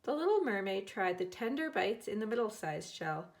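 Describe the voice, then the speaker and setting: A woman teacher reading a children's storybook aloud in an expressive way, acting out the characters. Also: medium pitch, normal speed. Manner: expressive, dramatizing characters' lines.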